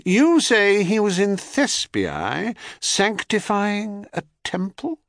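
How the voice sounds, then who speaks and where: A man's voice: high-pitched, wildly swooping pitch, takes it slowly, dry recording, clean studio sound, pitched above the performer's own voice, heavily acted. A man voicing a haughty, wrathful queen goddess who speaks with icy command. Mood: icy imperious. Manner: icy, imperious.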